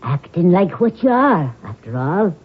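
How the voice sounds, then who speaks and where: A woman's voice: lowish voice, very animated, a little slow, slightly rough, full character voice. An actress playing a devoted, plain-spoken, motherly maidservant in a radio drama. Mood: affectionate. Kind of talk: old-time radio drama acting. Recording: narrow-band old radio broadcast.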